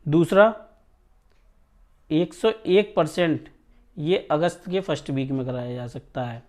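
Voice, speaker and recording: Male coaching teacher, notably high voice, emphatic informal explainer. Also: animated, unhurried, darkish tone. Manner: emphatic, repeatedly checks listeners understand. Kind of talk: informal exam news talk to students.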